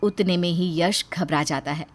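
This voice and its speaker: A woman's voice: on the low side, moderate pace, faint music behind. A woman narrating a scripted cartoon family story as storyteller.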